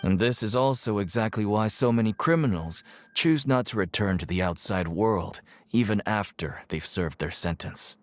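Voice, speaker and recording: Man playing a low-voiced, cynical prison inmate. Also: normal speed, dry recording, lightly acted. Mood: matter-of-fact, reflective. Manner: curt, dismissive, streetwise, jaded. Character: gruff, cynical male prison inmate working as guide. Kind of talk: video game character acting. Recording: game music behind the voice.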